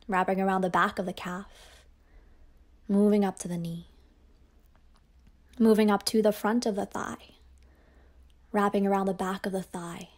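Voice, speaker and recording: Woman talking you through yoga nidra, soft and even, kind of monotone, slips into whispers. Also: medium pitch. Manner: slow, soft-spoken and soothing, often trailing into a whisper. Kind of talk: guided meditation.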